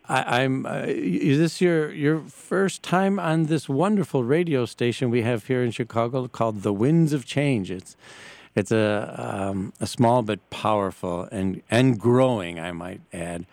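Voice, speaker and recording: A man hosting a live talk radio interview in a halting, stammering, casual way. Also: medium pitch, darkish tone, no echo. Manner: halting, hesitant, stammering delivery. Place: radio broadcast from a church.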